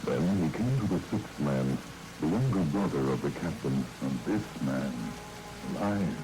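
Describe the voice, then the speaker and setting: A man's voice: a bass voice, expressive, a little slow, over music. A man narrating an old-time radio drama in a scripted, dramatic storytelling style, with noise and rain over the recording. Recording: noisy vintage radio, rain sounds.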